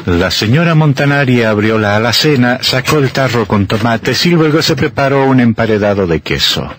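A man narrating an old radio horror drama in a theatrical, measured, husky storytelling voice. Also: medium pitch, lively intonation, a bit bright.

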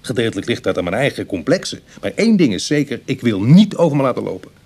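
A man's voice: mid-range voice, very animated, fast talker, darkish tone, husky. An actor playing a self-deprecating ex-burglar in his thirties, talking dryly and colloquially in a Dutch radio play. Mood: uneasy, wry. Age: 30s.

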